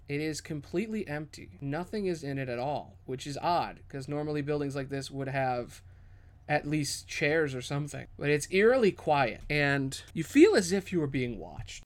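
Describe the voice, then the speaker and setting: Male game master, slightly high register, improvised and descriptive tabletop storytelling with a dramatic touch. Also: a bit bright. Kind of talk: improvised tabletop fantasy narration.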